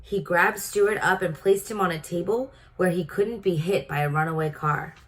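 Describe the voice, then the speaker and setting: A woman's voice: lowish voice, normal speed. A woman reading a storybook aloud to children in a teacherly manner, prompting her listeners. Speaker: storybook reader. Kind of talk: read-aloud narration for children.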